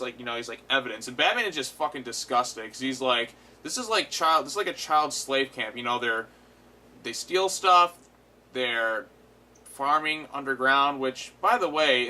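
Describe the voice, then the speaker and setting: A man's voice: medium pitch, bright, forceful tone. A man giving a casual, unscripted episode review as host, retelling it animatedly with frequent swearing. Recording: clear background noise.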